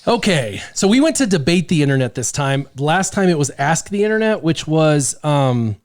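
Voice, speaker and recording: A man's voice: fairly high pitch, very animated, moderate pace. A man hosting a casual podcast chat, talking into a close studio mic with lots of fillers and laughs. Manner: chatty, laughing, rambling with fillers. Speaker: podcast host.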